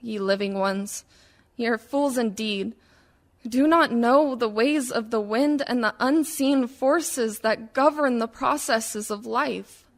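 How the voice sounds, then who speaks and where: Woman acting wronged proud woman, solemn declamation, slow pace, fairly high voice, poetic radio drama. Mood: defiant. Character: proud dead village woman protesting a stunted life. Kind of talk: dramatic verse monologue for radio.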